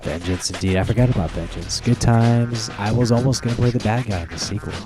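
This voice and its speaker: A man's voice: on the low side, fast talker, music in the background. A man hosting a casual podcast chat, talking in an informal, agreeable way. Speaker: podcast host.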